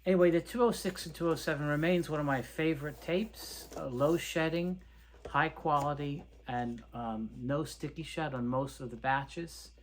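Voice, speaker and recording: A man presenting an informal, unscripted product showcase, explaining things in a halting, hesitant way with repeated words. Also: fairly high pitch, slight background noise. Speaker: presenter of reel tape review videos.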